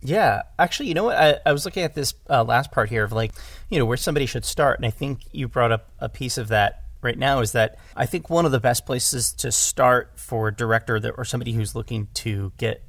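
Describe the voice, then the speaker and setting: A man's voice: mid-range voice, a little fast, fairly bright tone, no echo. A man in a casual discussion as a podcast guest, thinking aloud and often repeating his words. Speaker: podcast guest, audio drama director.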